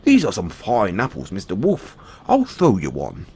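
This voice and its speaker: A man's voice: on the low side, huge pitch swings, moderate pace, darkish tone, breathy edge, exaggerated voice. A man voicing a clever, cheeky little storybook pig with a plucky, teasing manner. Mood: feigned calm.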